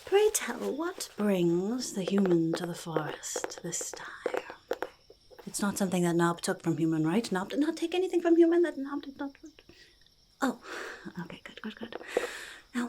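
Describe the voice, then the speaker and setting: A woman voicing a curious, skittish and chatty forest goblin in soft-spoken, half-whispered ASMR roleplay, halting and repeating words. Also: mid-range voice, slight background noise. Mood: curious, welcoming, then nervous, defensive. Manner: halting, repetitive, speaks of self in third person.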